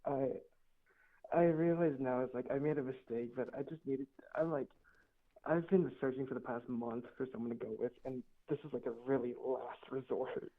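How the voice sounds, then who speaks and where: A 19-year-old man calls in on a tinny phone line, his voice thin and boxed-in. He talks in a hesitant, rambling way with many restarts and pauses. His pitch sits in the middle. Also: steady tone. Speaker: caller. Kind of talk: phone call-in.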